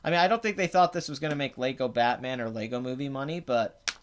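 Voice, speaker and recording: A man with a raspy, phlegmy voice and a clogged throat, hosting a casual, rambling box office talk with blunt, sarcastic asides. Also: mid-range voice, quick pace, a little hiss or hum. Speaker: box office show host. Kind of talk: casual live commentary.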